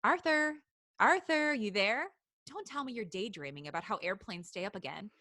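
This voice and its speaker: A woman's voice: medium pitch, expressive, moderate pace, bright, forceful tone, no echo, clean studio sound. A woman voicing a caring, teasing teenage schoolgirl in a live reading of a story.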